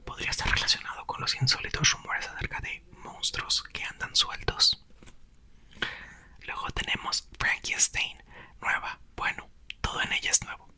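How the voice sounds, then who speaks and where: Man whispering a book reading. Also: moderate pace. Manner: soft unvoiced whisper throughout. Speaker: ASMR reader.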